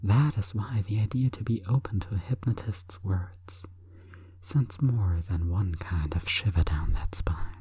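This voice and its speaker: A woman hypnotist delivering an intimate sleep hypnosis monologue in a breathy, coaxing, deliberate whisper. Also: rumbling low, mellow, no echo.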